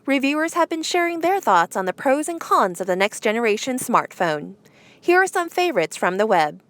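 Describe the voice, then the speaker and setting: A woman's voice: medium pitch, fairly bright tone, dry recording. A woman presenting news headlines, reading from a script.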